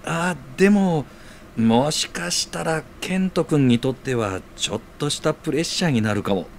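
An actor playing a gentle, considerate older-brother type with hesitant phrasing in an audio drama. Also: male voice, on the high side, animated, moderate pace, a little hiss or hum. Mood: gentle, thoughtful.

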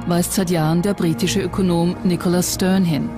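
A documentary narrator delivers scripted voice-over at a medium pitch. Music plays clearly underneath the reading. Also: flat delivery, moderate pace.

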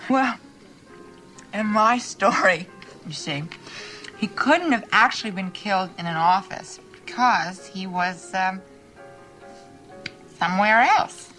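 A woman's voice: mid-range voice, slow pace, pauses often, bright, forceful tone, soft background music, rough recording. A woman playing a coy, flirtatious shopkeeper with a scheming streak in a mystery film, giggly and hesitant. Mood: coyly threatening. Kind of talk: television film mystery acting.

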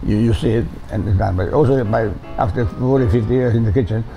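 An older man with an Italian accent talks in a gravelly, dark voice. He tells stories with animation, restarts often and slips into Italian words. He is a chef being interviewed in a bright room. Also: lowish voice, fast talker, soft background music, low-quality recording. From Italy. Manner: animated storyteller, repeats words, drops into Italian. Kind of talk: casual interview.

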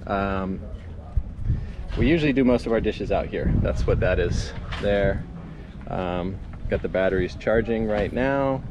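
A man's voice: fairly low pitch, unhurried. A man in his forties chatting casually to an action camera held at arm's length, giving an upbeat walkthrough with plenty of fillers. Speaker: sailing vlogger. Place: outdoors by harbor and on small sailboat. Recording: wind noise on camera mic.

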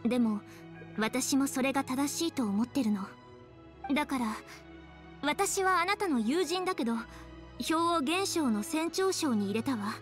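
A woman's voice: high-pitched, expressive, measured pace. A woman playing an earnest, dutiful young noblewoman in an anime game, speaking formally and stiffly, easily flustered. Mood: solemn, formal. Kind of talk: Japanese anime game voice acting. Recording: faint music behind game dialogue.

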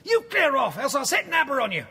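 Snappish crook, very high-pitched. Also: male voice, wildly swooping pitch, a little fast, creaky, pushed and bright, heavily acted. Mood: surly. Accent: British. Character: distrustful, snappish male crook with guard dog. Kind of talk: children's audio drama acting. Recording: faintly noisy cassette audio drama.

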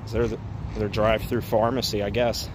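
A man's voice: fairly low pitch, little pitch movement, fairly quick. A man walking around an empty parking lot, chatting casually as he films on a phone. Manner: chatty, amused, frequent laughs and asides. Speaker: urban exploration vlogger. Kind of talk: casual walk-and-talk narration. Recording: phone video outdoors with traffic noise. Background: traffic and outdoor ambience.

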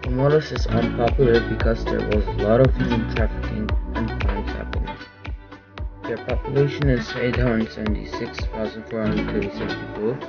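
A man student reading a school report plainly, his loudness rising and falling. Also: medium pitch, muffled recording. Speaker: student presenter.

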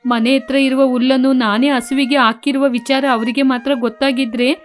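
A woman's voice: high-pitched, little pitch movement, normal speed, soft background music, natural voice. A woman playing a kind, devout and anxious village wife in an acted moral story, speaking in a caring, pleading way.

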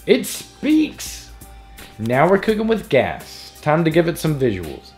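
A man's voice: on the high side, huge pitch swings, slow, darkish tone. A man narrating a scripted electronics tutorial in a playful, jokey manner. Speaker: host of an electronics DIY tutorial. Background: background music.

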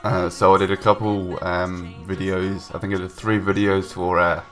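Young male gaming vlogger, very low voice, stuffed-up from a cold, hesitant rambling, faint music behind. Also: little pitch movement, normal speed, a bit bright. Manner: rambling, hesitant, many ums and restarts. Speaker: gaming YouTuber. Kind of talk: casual voice-over commentary. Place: home room, recorded separately from gameplay.

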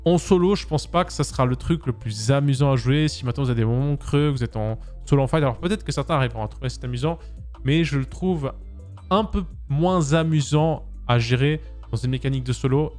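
A man raised in Switzerland chats through a game class breakdown at an even pitch, talking straight to his viewers and filling gaps with little filler words. He sits at a boom-arm studio mic in a home streaming room, and faint music plays underneath. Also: a little fast. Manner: chatty, uses filler words, addresses viewers directly. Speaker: gaming content creator.

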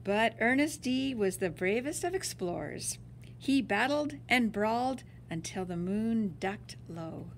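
A woman's voice: a little high, lively intonation, a little slow, a little hiss or hum, rough recording. A woman reading a children's picture book aloud like a teacher, drawing out words for dramatic emphasis. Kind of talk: expressive storybook read-aloud.

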